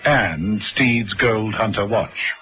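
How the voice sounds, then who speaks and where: A man's voice: lowish voice, slow, pushed and bright, faint music behind, low-quality recording. A man narrating a scripted radio drama, telling the story between the scenes.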